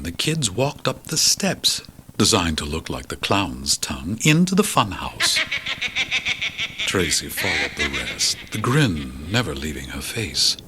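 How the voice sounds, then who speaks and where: A man's voice: low-pitched, exaggerated voice. A man playing a sinister, theatrical horror storyteller, narrating a suspenseful tale. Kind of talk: dramatic scripted narration. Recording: noisy old cassette transfer.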